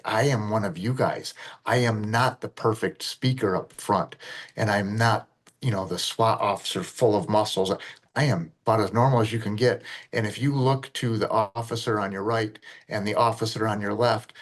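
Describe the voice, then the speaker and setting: Low monotone middle-aged man. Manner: earnest, plainspoken storytelling with fillers. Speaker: deputy sheriff and speaker. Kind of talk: conversational podcast interview.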